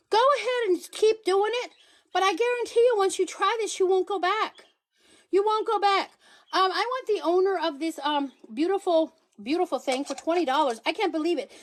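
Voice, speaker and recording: Sassy high-voiced woman livestreaming. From Paraguay. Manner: sassy, emphatic, pleading and teasing the viewers; switches accents playfully. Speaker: livestream host promoting products. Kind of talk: casual livestream selling.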